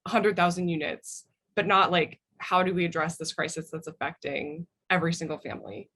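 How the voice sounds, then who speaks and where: A woman's voice: lowish voice, fairly even intonation, a little fast, fairly bright tone. An American woman talking as a podcast guest, making her points in an informal, emphatic way. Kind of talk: casual opinionated discussion.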